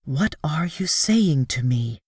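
A proud young alien princess, as a male narrator puts her on, speaking in formal, courtly phrases. The voice sits a little higher than the reader's own and has a shifted, unfamiliar timbre. It is recorded close and dry, with no room sound. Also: animated, slow pace, a little mellow, breathy edge, no room sound, full character voice. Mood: tender. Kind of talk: audiobook character voice.

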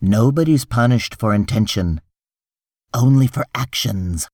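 A man's voice: on the low side, lively intonation, unhurried, a little mellow, no echo. Guy reading as a touchy official making excuses, insistent and exasperated, dry audiobook sound. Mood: cold, insistent. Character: tense, defensive, irritable male official making excuses.

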